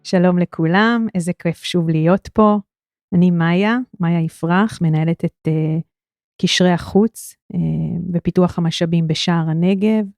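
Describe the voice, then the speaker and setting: Israeli woman hosting a podcast, lowish voice, lots of ums, dry close mic. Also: rapid-fire, a little mellow, no echo, faint music behind. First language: Hebrew. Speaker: podcast host. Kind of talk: podcast interview.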